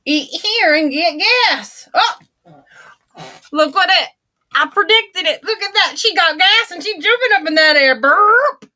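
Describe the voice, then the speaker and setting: A woman's voice: very high-pitched, lively intonation, a little slow, bright, forceful tone, exaggerated voice. A woman voicing a folksy old grandma who reads a storybook aloud in a chatty, homespun, theatrical way. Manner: chatty and theatrical, gasping and exclaiming.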